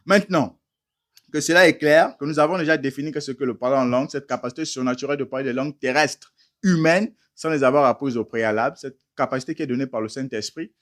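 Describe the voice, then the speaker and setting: A man's voice: medium pitch, quick pace, a bit bright. Guy preaching hard, mid voice, keeps hitting rhetorical questions. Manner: emphatic, asks rhetorical questions; addresses listeners as beloved brothers. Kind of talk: teaching sermon.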